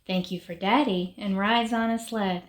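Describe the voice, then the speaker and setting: A woman reading a rhyming children's picture book aloud in short, measured phrases with pauses. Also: mid-range voice, fairly even intonation. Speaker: reader of a children's story. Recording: background noise with clicks.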